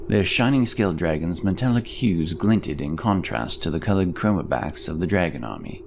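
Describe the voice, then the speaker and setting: A man narrating a scripted fantasy audio story in a measured, dramatic way. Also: deep voice, expressive, gravelly, background noise. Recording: music behind the voice. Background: music.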